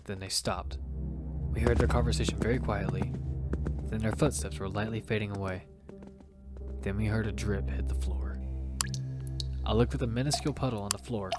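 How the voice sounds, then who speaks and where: A young man played by a male actor tells his own battle survival story in an audio drama. He is blunt and speaks in a low register. Loud music runs behind the narration. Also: fairly even intonation, moderate pace, long pauses, lightly acted. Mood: grave narrating. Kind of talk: first-person narration in an audio fiction.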